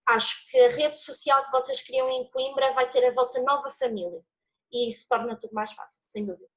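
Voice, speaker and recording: Young woman, Portuguese accent, fairly high voice, expressive student testimonial on dull lo-fi audio. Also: fairly quick. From born in São Paulo, Brazil, living in Portugal since age nine. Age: 20s. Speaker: student speaker giving a testimonial. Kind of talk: personal testimony with encouraging advice.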